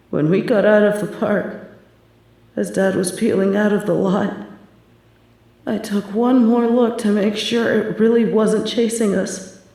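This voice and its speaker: A young adult woman performing a scripted horror narration, telling the story in a hushed, tense manner. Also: fairly low pitch. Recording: very echoey room.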